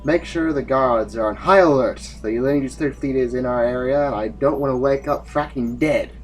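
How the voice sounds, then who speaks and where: An actor playing a weary, commanding general in an audio drama, with an authoritative, brooding way of speaking. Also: male voice, medium pitch, moderate pace, soft background music, lightly acted. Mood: stern. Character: weary, commanding male general facing a losing war.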